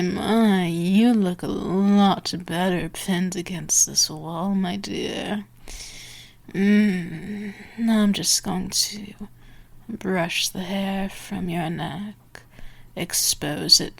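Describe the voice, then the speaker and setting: A woman playing a dominant, possessive female vampire in an ASMR roleplay, teasing and taunting as she slips between whispers and sharp commands. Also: mid-range voice, creaky, heavily acted. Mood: teasing, intimate.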